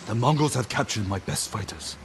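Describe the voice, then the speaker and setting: A man's voice: lowish voice, animated, fairly quick, a little hiss or hum. A man acting a brash mercenary ronin leader in a video game cinematic, blunt and familiar with a wry edge. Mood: blunt urgency.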